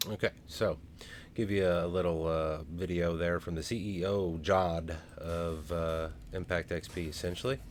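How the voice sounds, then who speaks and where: A man's voice: sits low, steady tone, a little slow, a little hiss or hum. A man, casually talking through a crypto project on a screen share with many ums and uhs. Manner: rambling, many fillers. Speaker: crypto vlogger.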